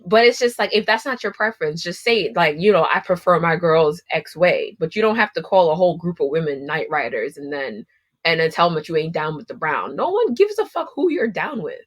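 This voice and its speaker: A woman's voice: on the low side, sing-song, a little fast. A young adult woman from Guyana co-hosting a podcast, talking bluntly and with plenty of profanity in casual chat. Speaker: podcast co-host.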